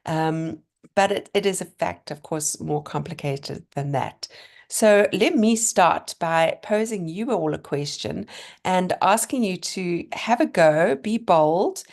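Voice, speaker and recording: Slightly hoarse middle-aged woman lecturing online. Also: lowish voice, slow pace, darkish tone. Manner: chatty, encouraging, thinking aloud with fillers and restarts. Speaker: lecturer presenting a webinar. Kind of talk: online seminar talk. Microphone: video call.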